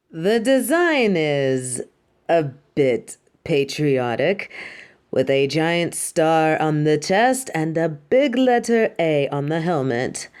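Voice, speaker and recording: A woman's voice: low-pitched, a little mellow, exaggerated voice. A woman playing a vain, imperious superhero costume designer in a theatrical audio roleplay, haughty, dramatic and doting toward the listener.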